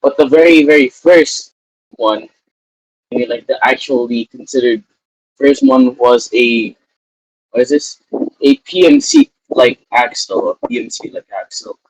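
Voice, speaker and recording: A young man from Canada chats casually on a gaming podcast. He often repeats his phrases, and his voice swings widely in pitch. The sound is dry and close. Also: unhurried, no room sound, poor audio. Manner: animated, repeats himself. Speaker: podcast co-host. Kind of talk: casual chat.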